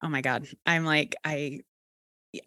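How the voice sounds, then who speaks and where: A woman's voice: deep voice, steady tone, a little slow, sharp tone, dry recording, clean studio sound. A woman with a Texas accent hosting an interview, talking candidly and encouragingly, with the odd casual swear. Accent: Texan. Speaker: podcast host.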